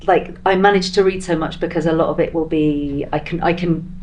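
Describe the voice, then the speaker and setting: A woman's voice: deep voice, fairly even intonation, brisk, a little mellow, slight background noise, poor audio. A woman chatting casually as a panel guest, in a chatty, self-effacing way. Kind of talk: casual chat.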